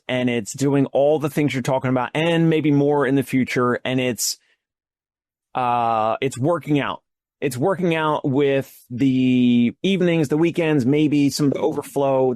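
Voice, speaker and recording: A man's voice: medium pitch, moderate pace, a little mellow, no room sound, studio quality. A man hosting a casual interview, thinking aloud and building his points step by step. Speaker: show host.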